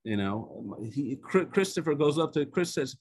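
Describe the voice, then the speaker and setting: A man whose first language is Hebrew tells a personal story as an invited speaker. His speech is halting, full of fillers and restarts. It comes through a video-call connection. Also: a little high, lively intonation, darkish tone, breathy edge. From United States. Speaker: author and guest speaker.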